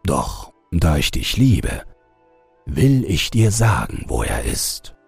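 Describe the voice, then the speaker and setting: Male reader as deathless old fairy-tale villain, sly, menacing, very breathy, creaking low voice, drawn-out words. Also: very animated, no room sound, soft background music, heavily acted. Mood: mocking, secretive. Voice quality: breathy, gravelly rasp.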